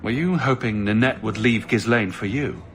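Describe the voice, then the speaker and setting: A man's voice: mid-range voice, normal speed, a bit bright, in a large hall, faint music behind, natural voice. A man playing a blunt, sardonic adventurer hero in a video game, dry and probing in his questions. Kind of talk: dramatic video game dialogue.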